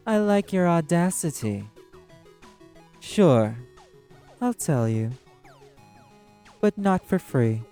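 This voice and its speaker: A man playing a proud, ancient arch-demon who runs a casino in an audio roleplay, with a haughty, measured, theatrical drawl. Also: on the high side, sing-song, warm tone, soft background music, pitched above the performer's own voice, exaggerated voice. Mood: sly, bargaining. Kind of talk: scripted audio roleplay monologue.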